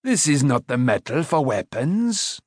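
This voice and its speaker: A man voicing a stout old smith in a storybook, a gruff but kindly mentor who is wry and commanding. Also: mid-range voice, sing-song, unhurried, no room sound, studio quality. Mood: instructing. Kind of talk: storybook character voice.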